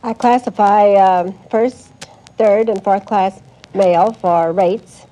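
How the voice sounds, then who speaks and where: A woman's voice: medium pitch, takes it slowly, mellow, dull audio. A woman answering a quiz host as a contestant in a precise, matter-of-fact way. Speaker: contestant, postal official. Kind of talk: question-and-answer interview. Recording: old broadcast, faint noise and audience.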